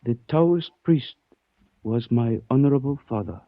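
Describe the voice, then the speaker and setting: An actor in a 1940s radio detective drama playing a polite, menacing Chinese man out to avenge his father, with formal, measured phrasing. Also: medium pitch, expressive, dry recording. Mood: solemn. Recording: muffled 1940s radio recording.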